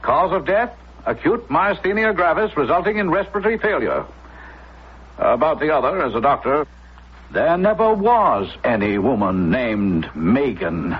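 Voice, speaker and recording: An actor playing a firm, evasive male doctor with a husky voice and a clinical manner in a radio drama. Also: fairly high pitch, animated, normal speed. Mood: defensive, clinical. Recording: muffled old radio broadcast.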